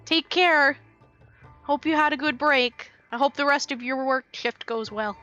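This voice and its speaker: Bright, playful female streamer; casual game chat, dry sound. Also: high-pitched, a little slow, no echo, highs cut off, faint music behind. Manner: playful, chatty, giggly. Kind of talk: casual live-stream chat while playing.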